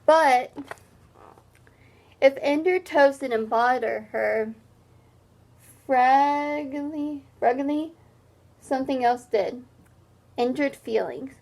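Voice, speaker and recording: High-voiced woman reading aloud, unhurried. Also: gravelly, darkish tone. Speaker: read-aloud teacher. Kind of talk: picture book read-aloud with simple explanations.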